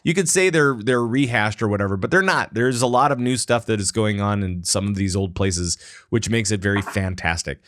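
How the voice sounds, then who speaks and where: A man's voice: on the low side, a little fast, a bit bright, no echo, studio quality. A man hosting a casual gaming podcast, joking playfully and repeating phrases for emphasis. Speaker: podcast host.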